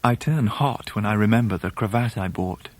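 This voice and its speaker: An actor playing a genteel Victorian gentleman who narrates his youth in a radio drama, with an English accent and a measured, wry, literary manner. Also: lowish voice, dry recording, lightly acted. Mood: wry, fond. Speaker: narrator in character.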